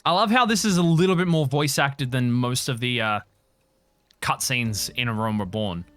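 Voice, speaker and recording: A man's voice: mid-range voice, moderate pace, fairly bright tone, dry recording. A man giving casual, sweary live commentary as he streams a video game. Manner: chatty, sweary, self-commenting. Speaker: streamer voicing a game playthrough. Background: faint game music.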